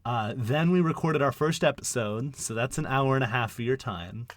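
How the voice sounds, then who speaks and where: A man hosting a casual podcast, with a brash, low voice and a rambling way of talking full of fillers and restarts. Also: normal speed. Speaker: podcast host.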